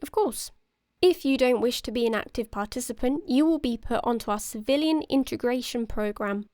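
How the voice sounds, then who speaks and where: Composed, high-voiced commanding woman, played by an actress. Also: darkish tone, no room sound, close to an everyday voice. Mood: cool, formal. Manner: formal, measured, smoothly reassuring. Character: composed, authoritative female leader of a covert organization. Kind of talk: audio drama acting.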